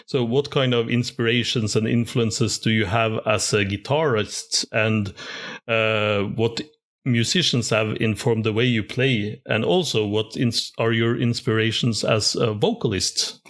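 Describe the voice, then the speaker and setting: A man's voice: fairly low pitch, steady tone, unhurried, a bit bright. A man hosting an interview podcast, asking long, rambling questions with enthusiasm and chatty asides. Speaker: podcast host. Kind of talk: casual interview chat.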